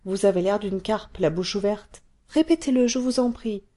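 A woman voicing an excited, curious little cave girl who pleads and chatters. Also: mid-range voice, normal speed, close to an everyday voice. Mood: eager.

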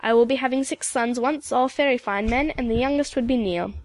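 Woman voicing a proud old storytelling woman, lightly high. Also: fairly quick, dry recording, close to an everyday voice. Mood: sorrowful, resigned. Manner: lilting old-country phrasing, repeated "oh yes". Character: elderly woman, composed, proud, sorrowful storyteller. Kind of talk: audiobook character dialogue.

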